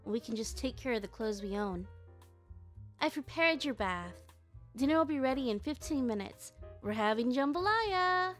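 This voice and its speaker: A woman's voice: high voice, lively intonation, moderate pace, fairly bright tone, soft background music. A woman voicing a sweet, earnest, vulnerable young lady with polite, delicate speech in a comic dub. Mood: gentle, caring.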